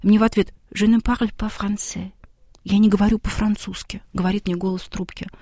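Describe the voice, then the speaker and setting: A woman guest on a talk show, telling a story and acting out the lines of the people in it. Her voice sits at an ordinary middle pitch and sounds dry and close, with no room sound around it. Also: fairly quick, breathy edge, no room sound. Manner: lively storyteller who acts out quoted dialogue. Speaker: guest, television documentary maker.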